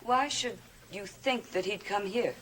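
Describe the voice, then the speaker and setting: An actress playing a poised, secretive woman who probes with smooth, coaxing concern, in theatrical 1970s gothic television soap style. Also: medium pitch, measured pace, a bit bright, a little hiss or hum, lightly acted. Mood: probing.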